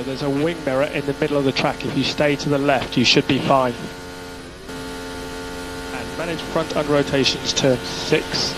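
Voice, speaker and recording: A male race engineer speaks over a team radio feed with music clearly behind him. He gives clipped, procedural instructions to a driver and repeats the key ones. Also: mid-range voice, rough recording.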